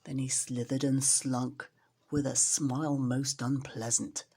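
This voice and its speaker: A woman's voice: extremely low pitch, flat delivery, takes it slowly, warm tone, husky. A woman storyteller reading a children's storybook expressively, with dramatic emphasis on repeated words.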